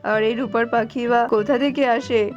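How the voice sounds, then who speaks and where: A woman's voice: a little high, fairly even intonation, moderate pace, highs cut off, faint music behind, lightly acted. Woman as a stern, imperious king. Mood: pondering. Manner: imperious, threatening. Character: stern, hot-tempered king and doting father of a princess. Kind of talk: children's cartoon fairy-tale acting.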